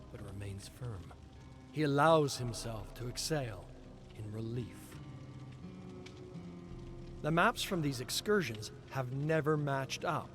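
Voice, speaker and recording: A man streaming, reading game lore aloud in a slow, deliberate storytelling delivery. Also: medium pitch. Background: clear background music.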